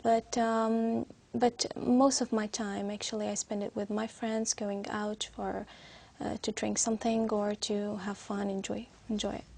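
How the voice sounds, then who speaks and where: Young Syrian woman, gravelly creak, flat pitch. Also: measured pace. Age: young adult. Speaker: student being interviewed as a speaking test candidate. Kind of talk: spoken exam answers.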